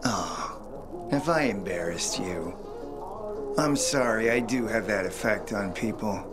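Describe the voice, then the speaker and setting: Smug, slow-drawling flirt. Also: male voice, fairly low pitch. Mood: smug, teasing. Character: smug, flirtatious, suave male survivor. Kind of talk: video game character acting. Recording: loud music behind dialogue.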